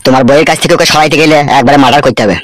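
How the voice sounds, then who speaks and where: A man's voice: a little high, racing, dry recording, close to an everyday voice. A man voicing a blustering, easily scared local gangster boss in a comedy cartoon. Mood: scheming, matter-of-fact. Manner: stammers when alarmed. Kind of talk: amateur comedy cartoon dialogue.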